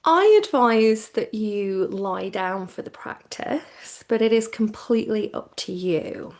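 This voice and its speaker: Slow, creaky-voiced woman guiding relaxation. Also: medium pitch, expressive, a little mellow. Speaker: yoga nidra teacher.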